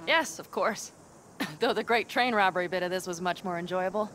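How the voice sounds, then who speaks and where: An actress playing a fiery, strong-willed young nomad woman in a video game, with expressive, emphatic delivery. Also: on the low side, normal speed, a little hiss or hum, close to an everyday voice. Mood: wry, warm. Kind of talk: cinematic video game voice acting.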